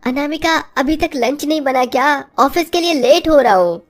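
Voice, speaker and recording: A woman's voice: high voice, moderate pace, voice pushed up, lightly acted. A woman voicing a hungry, grumbling young husband, tired from office work, in a moral-story cartoon. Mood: impatient. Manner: complaining, fed up.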